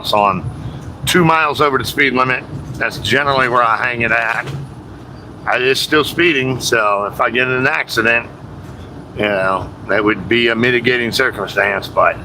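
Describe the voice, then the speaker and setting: A man streaming live from a truck cab on the highway, chatting along casually with fillers and the odd swear word. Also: moderate pace, pushed and bright, poor audio. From United States. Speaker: truck driver streaming from the road. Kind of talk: casual livestream chat while driving. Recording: constant road and engine noise.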